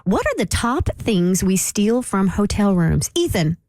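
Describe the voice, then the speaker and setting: A woman's voice: mid-range voice, sing-song, measured pace, darkish tone, no room sound. An American woman hosting a radio show in casual, upbeat, teasing chat.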